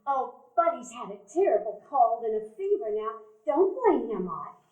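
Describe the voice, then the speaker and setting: A woman's voice: on the high side, big pitch swings, moderate pace, narrow, tinny audio. A woman playing a flustered, kind old lady. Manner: fluttery, soothing. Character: gentle, flustered elderly woman, kind-hearted. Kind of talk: stage radio play acting. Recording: echoey live stage recording.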